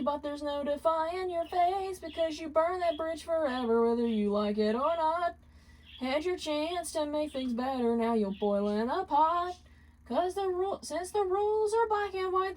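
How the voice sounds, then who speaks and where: A woman's voice: very high voice, a little slow, pitched above the performer's own voice, poor audio. A woman voicing a mocking, vengeful male demon ex-husband in a dramatic fan fiction reading. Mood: mocking, singing.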